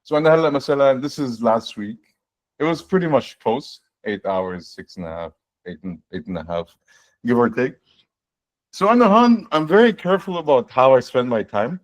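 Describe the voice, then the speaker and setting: A man in his forties, a guest on a video-call fireside chat, explaining his work in a relaxed way with frequent restarts and "right?". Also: medium pitch, brisk. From born in the United States, raised in Saudi Arabia and Canada. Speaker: guest, fractional CTO.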